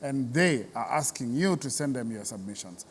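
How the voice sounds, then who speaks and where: A man's voice: medium pitch, expressive, normal speed, husky. A man with a Kenyan accent hosting an unscripted TV interview, encouraging his guest and repeating himself as he searches for words. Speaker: interview host. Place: large reverberant theatre building. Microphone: speaking on camera. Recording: echoey hall, background noise.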